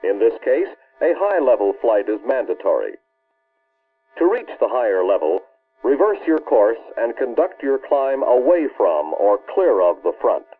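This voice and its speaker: An American man reads scripted instructional narration for an early 1960s military film in a formal, authoritative, measured way. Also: medium pitch, very animated, breathy edge. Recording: muffled, band-limited old film soundtrack.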